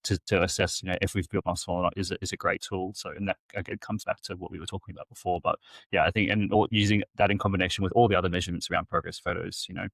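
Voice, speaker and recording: A man co-hosting a podcast, rambling and hesitant, trailing off quietly at the ends of his sentences. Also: deep voice, level tone, very fast, vocal fry, no room sound. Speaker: podcast co-host, coach. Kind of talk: casual podcast chat.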